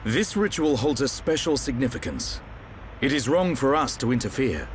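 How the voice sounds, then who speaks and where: A man's voice: medium pitch, very animated, normal speed, a little hiss or hum, lightly acted. A man playing a seasoned, protective warrior leader in a video game, assured and wry in manner. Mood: persuasive, firm. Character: seasoned, level-headed male war hero, protective leader. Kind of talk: video game cutscene acting. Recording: faint outdoor ambience.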